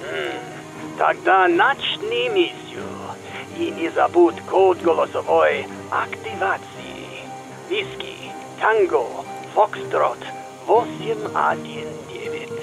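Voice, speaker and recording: An actor playing a deliberate Russian KGB scientist with a Russian accent in a Cold War radio play. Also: male voice, on the high side, lively intonation, gravelly, over music, full character voice, poor audio. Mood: grave, commanding.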